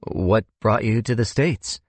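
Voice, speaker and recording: A man's voice: on the low side, unhurried, slightly rough, no echo, voice pushed up. A man voicing a reserved young man in an audiobook, polite, understated and tentative. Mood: curious. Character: reserved young American man, awkward amateur private detective.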